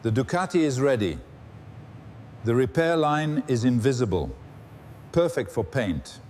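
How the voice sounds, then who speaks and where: A man narrates in a scripted documentary style with a mid-pitched voice and highly varied intonation. His statements are short and dramatic, with some faint ambient noise behind them. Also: very animated, measured pace, a little mellow.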